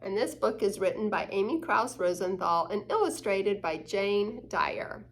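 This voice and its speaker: A woman's voice: medium pitch, lively intonation, measured pace. A woman hosting a children's story time, reading a picture book aloud and explaining it with questions to the young listeners.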